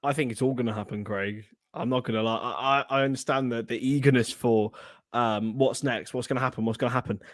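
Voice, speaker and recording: A man's voice: mid-range voice, fairly even intonation, fast, dry recording, studio quality. A young Englishman co-hosting a casual podcast, rambling with many restarts. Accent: non-Scouse English. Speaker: podcast co-host.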